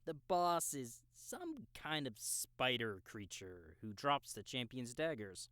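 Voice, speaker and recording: A man voices a young male gamer guide in an audiobook drama. He is cocky and casual, with slangy, teasing talk. His delivery is slow and clean, recorded dry and close. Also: mid-range voice, big pitch swings, fairly bright tone, no echo. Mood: explanatory. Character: cocky, laid-back young male gamer guiding a newcomer. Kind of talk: audiobook character dialogue in a game-world story.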